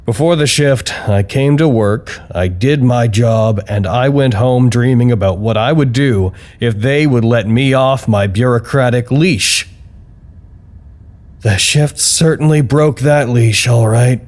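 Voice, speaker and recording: An actor playing an anxious, earnest office worker who records wry, reflective, halting confessional reports in an audio drama. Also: male voice, medium pitch, darkish tone, close to an everyday voice. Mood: proud, uneasy. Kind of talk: audio drama monologue, intimate log narration.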